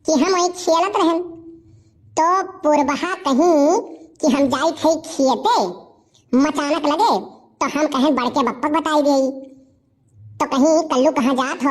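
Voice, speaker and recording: A woman voicing a cheeky, scheming village boy with an Awadhi accent, cajoling and insistent in comic cartoon dialogue. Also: sits high.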